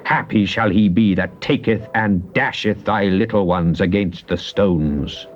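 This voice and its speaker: An actor playing a fervent psalmist, solemn and theatrical in a dramatized Bible reading. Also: male voice, lowish voice, unhurried, faint music behind, lightly acted. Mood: grim. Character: fervent psalmist addressing God in prayer and lament. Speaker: scripture reader. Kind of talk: dramatized Bible reading, British stage delivery. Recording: muffled, noisy old cassette tape.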